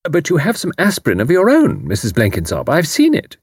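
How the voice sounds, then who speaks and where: A man putting on a sharp, brisk landlady. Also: medium pitch, big pitch swings, dry recording, clean studio sound, pitched above the performer's own voice, heavily acted. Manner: crisp, pointed.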